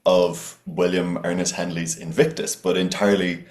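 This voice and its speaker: A man's voice: low-pitched, little pitch movement, normal speed, darkish tone. A man hosting an interview, asking questions with enthusiasm and praise. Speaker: interviewer host. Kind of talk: casual interview chat. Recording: roomy echo.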